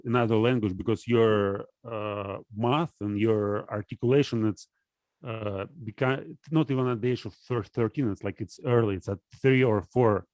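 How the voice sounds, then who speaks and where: A man in casual conversation, explaining ideas in a halting way with many restarts and fillers. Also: on the low side, darkish tone, no echo.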